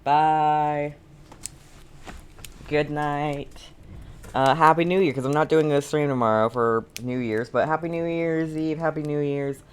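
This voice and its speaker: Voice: mid-range voice, steady tone, unhurried, clear, resonant tone. A game streamer chatting casually on a live stream in a rambling, easily amused way. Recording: faint game music behind the voice. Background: video game music.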